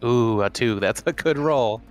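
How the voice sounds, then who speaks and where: A man's voice: mid-range voice, measured pace, soft background music. A man chatting casually as a player in a tabletop game, thinking his moves through aloud.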